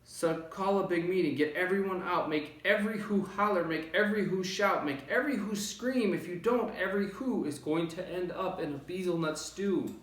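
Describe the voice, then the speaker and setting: An earnest, protective storybook elephant acted by a man, pitched up high and pleading. The recording has a roomy echo. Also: moderate pace, hardly any pauses, far from the mic, close to an everyday voice. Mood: urgent pleading. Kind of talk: storybook read-aloud.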